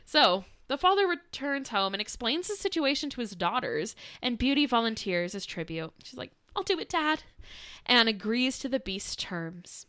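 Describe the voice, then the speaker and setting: A woman's voice: on the high side, sing-song, normal speed, sharp tone, no room sound, studio quality. A young woman co-hosting a podcast, casually retelling a fairytale with sarcastic asides, laughter and quotes acted out in voices. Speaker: podcast co-host. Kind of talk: casual storytelling chat.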